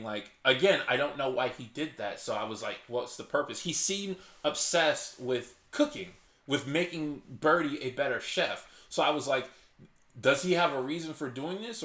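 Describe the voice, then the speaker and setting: A man hosting his own channel, reasoning aloud in casual commentary. He is passionate and restarts often, and says his naturally loud voice is held low on purpose. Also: reverberant. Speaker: channel host. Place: hot indoor room.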